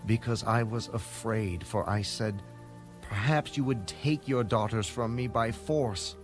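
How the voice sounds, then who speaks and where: A man's voice: on the low side, a little slow, soft background music, natural voice. A man playing a fearful, defensive biblical husband and herdsman, earnest and declamatory, in an audio Bible drama.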